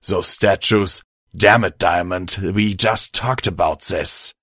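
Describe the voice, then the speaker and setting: Man as a bitter, low-voiced stallion, curt. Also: slow pace, a bit bright, no echo, clean studio sound, exaggerated voice. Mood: irritated. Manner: curt, sweary, confrontational. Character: bitter, desperate, stubborn male unicorn pony.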